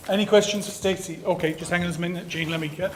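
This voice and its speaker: A man's voice: high-pitched, steady tone, fast, a little hiss or hum, low-quality recording. A man moderating audience questions at a conference in casual, offhand speech. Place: conference hall.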